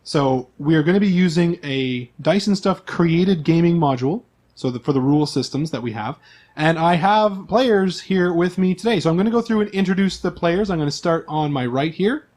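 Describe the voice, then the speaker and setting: A man's voice: on the high side, moderate pace, a little mellow. A man speaking as host and game master, explaining things to players and listeners in a chatty, casual way.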